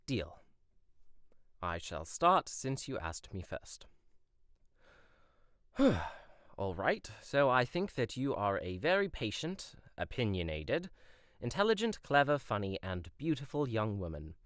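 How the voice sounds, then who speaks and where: A man's voice: mid-range voice, huge pitch swings, takes it slowly, pauses often, fairly bright tone, no room sound, clean studio sound, full character voice. A man playing a courteous, earnest young prince in an amateur fairytale audio drama, polite and slightly formal.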